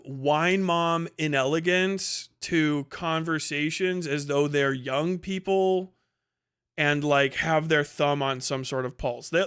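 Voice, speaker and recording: A man's voice: a little high, fairly bright tone, clear, resonant tone. A man hosting a livestream, giving a casual, opinionated rant in a blunt, scornful, profane manner. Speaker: livestream host and commentator.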